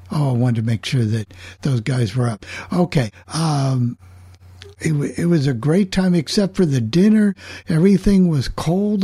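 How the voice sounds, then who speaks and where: A man's voice: mid-range voice, mellow, husky, no echo, studio quality. A man hosting a casual club show, rambling conversationally and repeating words for emphasis. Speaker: host. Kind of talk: casual opening monologue of a call-in club show.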